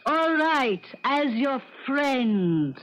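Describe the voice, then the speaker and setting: An actress playing an aging, devoted piano coach, emphatic and coaxing, in a theatrical 1970s radio drama style. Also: female voice, high voice, big pitch swings, very slow, a little hiss or hum, full character voice. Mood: insistent, coaxing. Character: aging female piano coach and manager, insistent, devoted friend. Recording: dull vintage radio broadcast recording.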